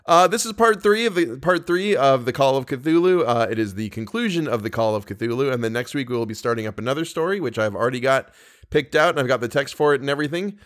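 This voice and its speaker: A man who hosts a podcast and reads classic horror fiction aloud, speaking as a narrator and presenter. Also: mid-range voice. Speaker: podcast host and story reader. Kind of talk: casual podcast introduction and audiobook-style narration of a horror story.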